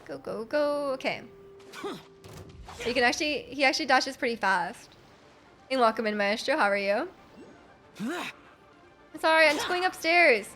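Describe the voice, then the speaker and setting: A woman live streaming a game, chatting casually with an excitable manner and often repeating her phrases. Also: sits high, moderate pace, long pauses. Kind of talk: casual live stream chat while playing. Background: game music.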